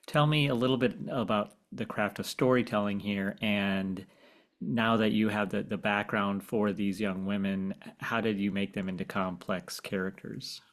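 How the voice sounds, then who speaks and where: A man hosting a podcast interview in a conversational style, framing his questions haltingly and often repeating words. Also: lowish voice, steady tone.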